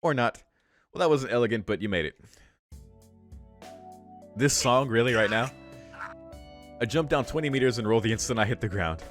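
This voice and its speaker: A man's voice: mid-range voice, animated, normal speed, pauses often, a bit bright. A man reading a visual novel aloud as a streamer, with amused, slangy and sweary casual asides. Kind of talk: casual read-aloud with commentary. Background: faint game music.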